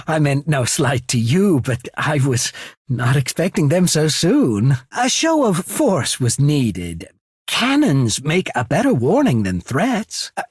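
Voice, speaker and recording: Smooth courtly diplomat for a video game, husky voice, lilts up and down, super polite. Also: male voice, mid-range voice, darkish tone, no room sound, clean studio sound, heavily acted. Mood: composed. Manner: polite, measured, suave, formal. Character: courtly, shrewd male diplomat of a trading company. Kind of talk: video game character acting.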